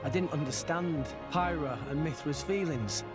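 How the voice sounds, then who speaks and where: A man's voice: on the high side, moderate pace, natural voice. An actor playing an earnest, determined teenage boy hero in a video game cutscene. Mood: solemn. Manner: heartfelt, resolute. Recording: loud background music.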